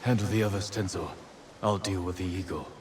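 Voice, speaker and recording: A man plays a resolute, haunted samurai in a game cutscene. The voice is low and restrained, measured and solemn, with some ambient noise behind it. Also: fairly even intonation, lightly acted. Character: grave, dutiful adult samurai lord, resolute, haunted son. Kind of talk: dramatic video game acting.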